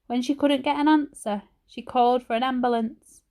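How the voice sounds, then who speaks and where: A woman voicing a frail, kindly old grandmother in hospital with a croaky, slightly slurred voice, for a children's storybook. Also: high-pitched, steady tone, mellow, voice pushed up. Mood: weak, matter-of-fact. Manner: slightly slurred, slow speech.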